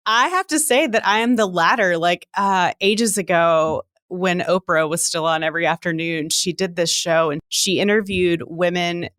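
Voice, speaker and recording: A woman's voice: on the low side, fairly bright tone, no echo, clean studio sound. A woman in her late thirties chatting casually on a podcast, telling stories by acting out her own thoughts. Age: late 30s. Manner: chatty, self-quoting storytelling.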